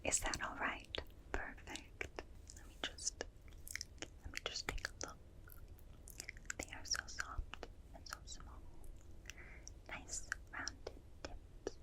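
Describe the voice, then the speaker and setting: A gentle alien examiner, played by a woman, whispers slowly and breathily, right up at the microphone. The phrasing is formal and clinical, full of quiet fascination with a tiny human, in a soothing ASMR roleplay style. The whisper has a bright, crisp edge. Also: low-quality recording. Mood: curious, soothing. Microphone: close binaural microphone.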